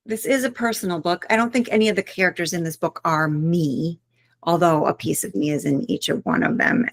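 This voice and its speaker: A woman with a lowish voice talks as the guest of an interview, reflective and earnest, working her thoughts out aloud. The sound is dry and close, with little room in it. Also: vocal fry, no room sound. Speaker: author guest. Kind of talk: interview conversation.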